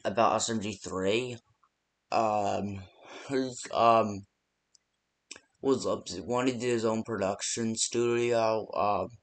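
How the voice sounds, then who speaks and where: Halting, slow-talking man with rambling delivery. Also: fairly low pitch, steady tone. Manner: halting, hesitant, rambling with many ums; casual, unpolished delivery. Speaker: phone-call guide in a fan horror game. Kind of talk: improvised game phone-call voice lines.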